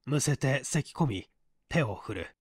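Expressive male storyteller with a lively range. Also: mid-range voice, a little slow, breathy edge, dry recording, clean studio sound. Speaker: audiobook narrator. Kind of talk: scripted narration of mystery fiction.

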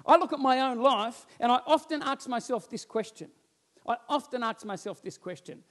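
A man, preaching a sermon on a church stage and telling stories with lively gestures while he walks about. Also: very high voice, normal speed. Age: 40s. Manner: animated storytelling, roams the stage gesturing. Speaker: pastor. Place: church stage with band instruments, indoors.

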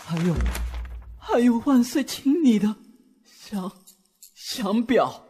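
Anxious, formal herald for period drama male actor, urgent delivery in a high voice. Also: expressive, takes it slowly, mellow, slightly rough, long reverb tail, heavily acted. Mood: fearful, urgent. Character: anxious messenger delivering dire news to court. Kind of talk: Chinese period audio drama acting.

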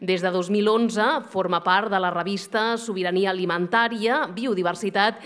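A woman's voice: mid-range voice, fairly even intonation, moderate pace, a bit bright. A woman presenting at an awards ceremony, reading formal announcements at a podium microphone on a theatre stage. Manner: formal, reads from notes. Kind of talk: scripted announcement.

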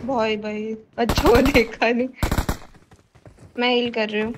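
A woman gamer makes short, casual callouts at an unhurried pace. Loud gunfire and game noise crowd in behind her voice. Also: fairly high pitch, steady tone. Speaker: squad gamer.

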